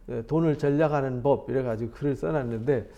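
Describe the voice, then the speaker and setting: A middle-aged man from South Korea teaching a Bible study as pastor, chatty and animated, asking rhetorical questions. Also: medium pitch, fast, gravelly, highs cut off.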